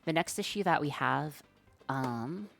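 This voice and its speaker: A woman with a strikingly deep voice for her sex, talking her way through code on a live stream. She muses aloud, drops slang and fillers, and chats loosely with her audience. Faint music plays behind her. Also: animated, a little slow, creaky. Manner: thinks aloud while coding, slangy and chatty. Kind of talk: live-stream coding chat.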